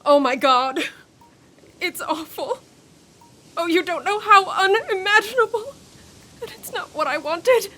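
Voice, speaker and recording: A woman playing a haunted, secretive and gravely urgent intellectual with formal, theatrical diction in a radio horror drama. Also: very high-pitched, expressive, measured pace, long pauses, bright, forceful tone, exaggerated voice. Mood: horrified.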